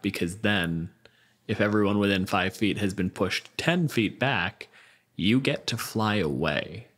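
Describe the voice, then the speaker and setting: A man's voice: deep voice, a bit bright. A man hosting a casual tabletop gaming podcast, talking in an explanatory, storytelling way. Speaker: podcast host.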